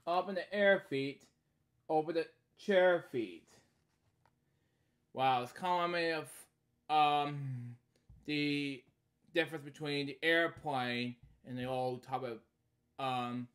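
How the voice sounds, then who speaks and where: A man in his thirties hosting a bedtime story series, reading a children's book aloud in short halting lines with casual, repetitive asides. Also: fairly high pitch, fairly even intonation, fairly bright tone. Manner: halting, repeating stock phrases between short read lines. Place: small indoor room at home.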